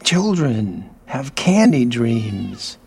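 A man acting in an audio drama as an odd, playful, lonely middle-aged workman, whimsical and halting, with earnest oddball candor. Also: mid-range voice, very animated, gravelly, a little mellow, slightly rough, heavily acted. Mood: wistful, dreamy.

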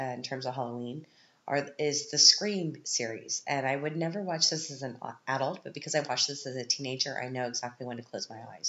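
A woman's voice: extremely low pitch, a bit bright. A woman in her thirties with a stuffed-up, scratchy voice, chatting casually and rambling as a podcast host at home. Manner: chatty and rambling, with frequent laughs. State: stuffed up, congested. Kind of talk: casual chat. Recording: roomy, dull lo-fi sound.

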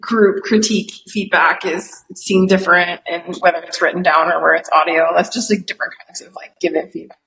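A woman's voice: fairly low pitch, steady tone, a little fast, gravelly, hardly any pauses, fairly bright tone, poor audio. A woman speaking frankly as a guest in a casual podcast conversation.

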